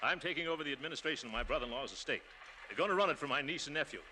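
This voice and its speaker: An American actor playing a pompous, blustering middle-aged company boss in a 1940s radio comedy. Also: male voice, a little high, animated, very fast, pushed and bright. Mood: jovial. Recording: noisy old 1940s radio recording.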